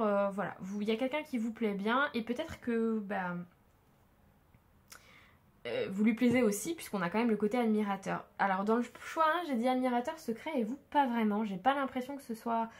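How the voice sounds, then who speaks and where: Stuffy-nosed woman giving a chatty tarot reading. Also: fairly high pitch. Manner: chatty, hesitant, many filler sounds. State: stuffy nose from a sudden cold. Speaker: tarot card reader. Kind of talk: casual tarot reading to camera.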